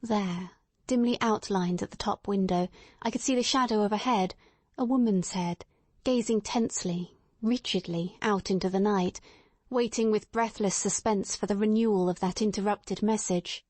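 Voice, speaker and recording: Female audiobook narrator, husky voice, mid pitch, dry close sound, dramatic scripted story reading. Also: normal speed, darkish tone, dry recording.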